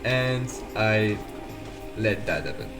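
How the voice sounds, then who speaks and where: A man from France with a French accent, a game developer chatting casually and haltingly during a live stream while playing. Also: fairly low pitch, animated. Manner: halting, self-deprecating, restarts phrases. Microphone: voice call. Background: game music.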